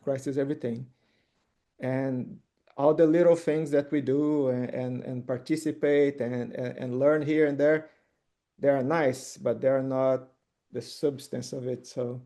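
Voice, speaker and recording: Mellow middle-aged man, native Portuguese speaker. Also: mid-range voice. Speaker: author giving a testimony. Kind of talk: informal personal testimony.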